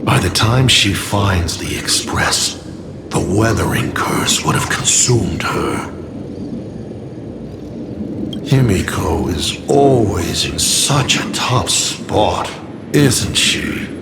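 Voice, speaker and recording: A man's voice: fairly low pitch, animated, slow, gravelly, heavy background noise, exaggerated voice. An actor voicing a smug, sardonic villain in a video game, theatrical and mockingly urbane as he drawls out his taunts. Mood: disdainful. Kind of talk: dramatic video game voice acting. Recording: loud music under the voice. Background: loud background music.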